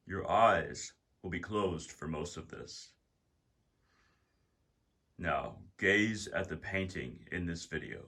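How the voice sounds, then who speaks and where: A man narrating a guided meditation as its guide, in deliberate, measured phrases with pauses. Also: sits low, sharp tone, breathy edge.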